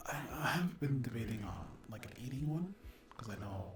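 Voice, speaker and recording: A man chatting casually to his ASMR viewers in a soft voice that often drops to a whisper, hesitant and apologetic. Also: medium pitch, sing-song, brisk, heavy background noise. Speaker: ASMR roleplay video creator and host. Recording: roomy echo.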